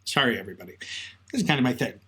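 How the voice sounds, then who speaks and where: A man's voice: mid-range voice, animated, rapid-fire, a bit bright, husky. A man co-hosting a podcast in a conversational, semi-scripted storytelling style. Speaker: podcast co-host.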